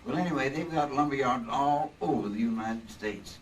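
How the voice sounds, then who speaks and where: Casting: male actor as excitable, folksy insurance man for vintage radio drama re-creation, hems and repeats, heard at a distance. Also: medium pitch, normal speed, close to an everyday voice. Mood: genial, explanatory. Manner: folksy, hemming, repeats himself. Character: male insurance man Earl, hospitable, excitable, bossy husband. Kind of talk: old-time radio drama re-creation on stage. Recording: distant stage mics, some room noise.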